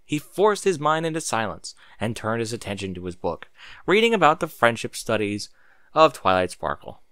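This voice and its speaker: A man reading fan fiction aloud as narrator, in an expressive storybook style with a sardonic edge. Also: medium pitch, normal speed, no room sound, studio quality. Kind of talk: dramatic storybook narration with casual sarcastic asides.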